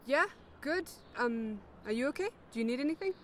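A woman's voice: high voice, expressive, brisk. An actress playing Molly, an anxious young woman who sounds flustered and eager in an audio play.

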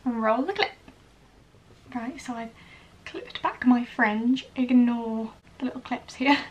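A woman's voice: fairly high pitch, slow pace, highs cut off. A young woman from Wales chatting casually to camera in a get-ready-with-me vlog, recorded in a bedroom. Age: young adult. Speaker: vlogger, ballet dancer in training. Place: small bedroom indoors. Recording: slightly distant mic, clearly noisy room.

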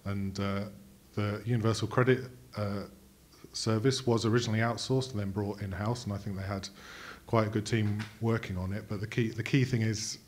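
A man. His voice is on the low side and very even in pitch as he makes a point from a conference audience. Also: normal speed, slight background noise. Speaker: audience questioner. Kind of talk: question and comment from the audience. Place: conference auditorium seating, indoors. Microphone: throwable box microphone.

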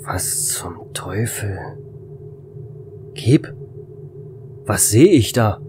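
A man's voice: fairly low pitch, expressive, extremely slow, noisy background. A man playing a tense space station operator in an amateur German sci-fi audio drama. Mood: stunned.

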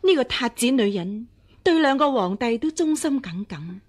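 An actress playing a scheming impostor empress dowager in a radio drama, deferential, hesitant and pleading. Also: female voice, fairly high pitch, lively intonation, normal speed. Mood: defensive. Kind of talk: Cantonese radio drama acting.

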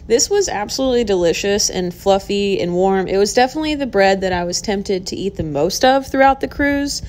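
A woman's voice: medium pitch, expressive, brisk, darkish tone. A woman vlogger narrating a casual voice-over with gushing enthusiasm. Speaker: vlog host.

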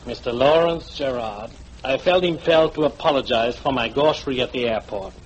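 An actor playing a fat, weary, self-styled guide in a 1940s radio drama, wordy and ingratiatingly polite. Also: male voice, mid-range voice, normal speed, muffled recording, lightly acted. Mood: suave, insinuating. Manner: florid, wordy, over-polite. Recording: noisy vintage 1940s radio recording.

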